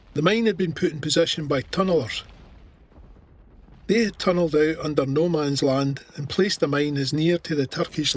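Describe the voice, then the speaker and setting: A man's voice: on the high side, slightly rough. An actor playing a Scottish First World War corporal, telling his memories plainly in an audio drama. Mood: urgent recollection. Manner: plain-spoken, matter-of-fact storytelling. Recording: artillery and gunfire effects behind.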